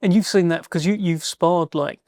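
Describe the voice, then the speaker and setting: A man's voice: sits high, normal speed, darkish tone, no room sound, clean studio sound. A man interviewing a boxer, asking friendly, prompting questions. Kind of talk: sports interview.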